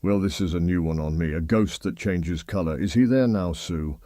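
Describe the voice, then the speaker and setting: A very deep, mellow and dry British voice from a male actor presents a late-night phone-in. He is a genial host, chatty and lightly bemused, in talk radio style. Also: normal speed, no room sound, close to an everyday voice.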